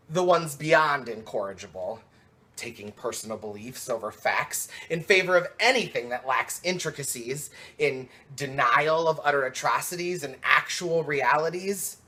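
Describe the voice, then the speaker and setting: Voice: medium pitch, fairly bright tone. A slam poet performing rhymed spoken word with an emphatic, dramatic and rhythmic delivery.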